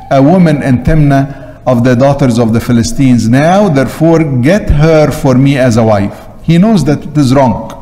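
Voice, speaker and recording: A man's voice: mid-range voice, warm tone. An older man with an Egyptian Arabic accent, a priest giving an emphatic sermon that repeats short phrases for weight. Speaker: Coptic Orthodox priest. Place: large church interior, at the pulpit. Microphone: gooseneck pulpit microphone. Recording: long church reverb.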